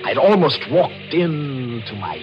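A man's voice: mid-range voice, very animated, slow. An actor playing a cunning, cold-blooded man who narrates his own murder scheme in tense, dramatic 1940s radio style. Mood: frantic. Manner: tense dramatic swings from hushed to frantic. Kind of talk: 1940s radio mystery acting. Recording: muffled old radio broadcast, music behind.

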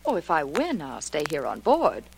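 A woman's voice: low-pitched, wildly swooping pitch, moderate pace, creaky, exaggerated voice. An actress playing a cool, bold married woman gambler in a 1940s radio drama, self-assured and challenging. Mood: cool, confident. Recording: noisy 1940s radio recording.

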